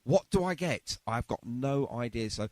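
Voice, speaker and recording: Chatty male radio host, very animated pitch. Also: moderate pace, no echo. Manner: effusive, chatty, repeats phrases. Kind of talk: casual chatty radio interview.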